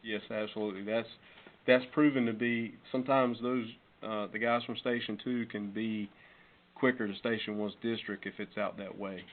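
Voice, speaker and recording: Man, fire chief at a meeting, matter-of-fact, lowish pitch, tinny conference call. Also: steady tone, normal speed. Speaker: fire chief reporting to council. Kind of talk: answering questions in a budget meeting. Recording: phone-band conference call, noisy, clicks.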